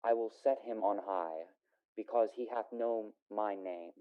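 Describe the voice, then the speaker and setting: An actor playing an earnest, gentle young son of a poor family in a solemn radio play. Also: male voice, on the low side, measured pace, clear, resonant tone, close to an everyday voice. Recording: tinny phone-like lo-fi sound.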